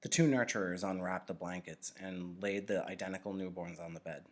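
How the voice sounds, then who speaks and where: A man with a low-pitched voice reads a novel aloud. He narrates it as a storybook, in the role of a teacher reading to students. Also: steady tone, a little fast.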